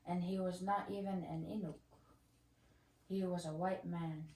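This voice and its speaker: A woman reads a life story in a slightly low, soft-edged voice. Her words echo in the room over faint noise. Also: steady tone, a little slow. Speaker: reader at a school story sharing. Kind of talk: reading an elder's life story aloud.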